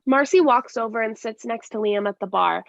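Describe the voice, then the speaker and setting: A woman's voice: on the high side, moderate pace, gravelly, dry recording. A woman reading scripted stage directions as narration, plainly and step by step, with slight stumbles. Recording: dry, slightly dull lo-fi sound.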